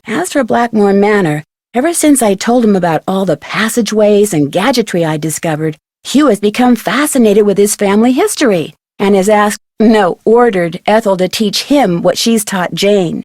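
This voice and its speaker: A woman's voice: mid-range voice, a little mellow, no room sound, clean studio sound, close to an everyday voice. A woman voicing a young amateur detective in a video game, narrating a letter in a chatty, confiding way with an American accent.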